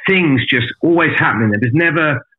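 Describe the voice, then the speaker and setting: A man's voice: on the high side, a little fast, breathy edge. Blunt, sweary man on a tinny line. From an island outside Australia. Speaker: podcast guest telling life story. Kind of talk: casual candid storytelling.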